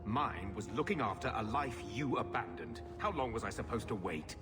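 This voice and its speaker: An actor playing a resentful, defensive middle-aged brother, accusing and bitter, in a video game drama. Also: male voice, lowish voice, expressive, moderate pace, long reverb tail, loud music behind the voice, close to an everyday voice.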